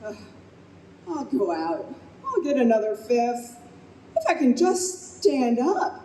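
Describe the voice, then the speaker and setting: A woman's voice: fairly high pitch, huge pitch swings, takes it slowly, mellow, exaggerated voice. A woman acting a troubled young single mother in a live old-time radio play, with dramatic, emotional delivery. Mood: grief-stricken, drunk. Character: distraught young single mother, grieving, drinking, later doting on baby. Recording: live stage recording, echoey room, faint noise.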